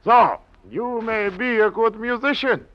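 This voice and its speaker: An actor playing an imperious, teasing older maestro in an old radio drama, pompous and lecturing, often repeating himself. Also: male voice, very high voice, unhurried. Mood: lecturing, wry. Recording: dull, hissy old radio recording.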